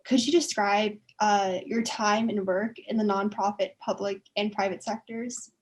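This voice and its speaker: A woman speaks as an interviewer, delivering prepared introductions and questions. Her voice sits at a middle pitch for a woman. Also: fairly even intonation, a little slow, a little mellow.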